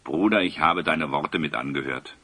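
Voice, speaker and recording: An actor playing a just, dignified new regent in a fantasy audio play, speaking in stately declamation. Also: male voice, very deep voice, moderate pace, bright, forceful tone, full character voice. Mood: forgiving, grave.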